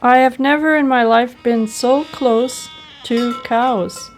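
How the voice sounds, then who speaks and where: Woman, travel vlogger, slightly high voice, unhurried pace, appreciative manner, music behind. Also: fairly even intonation, rough recording. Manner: enthusiastic, appreciative. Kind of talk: personal travel vlog narration.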